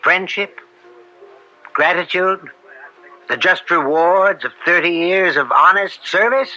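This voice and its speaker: An actor playing an upstanding older judge, proud and wounded, in old-time radio drama style. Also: male voice, high voice, animated, slow, sharp tone, heavily acted, low-quality recording. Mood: indignant, bitter. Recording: old radio broadcast with music.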